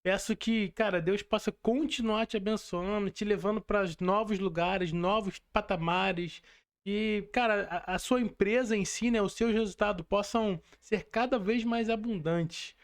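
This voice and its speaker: High-voiced Brazilian man hosting a podcast. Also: fairly even intonation, unhurried. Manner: effusive, colloquial. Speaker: podcast host. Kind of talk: casual podcast talk.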